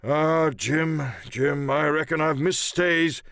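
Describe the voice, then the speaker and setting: A man voicing a wounded, sly old pirate coxswain with rough rustic sailor's speech, in a dramatised audiobook. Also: fairly high pitch, fairly even intonation, slow, a bit bright, no echo, studio quality, pitched above the performer's own voice. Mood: weak, pleading. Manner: sly, blunt, commanding.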